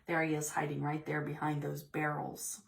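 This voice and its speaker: A woman reading a storybook aloud to preschoolers in an expressive, theatrical, deliberate way. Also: low voice, creaky, slight background noise. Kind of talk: expressive storybook read-aloud for young children.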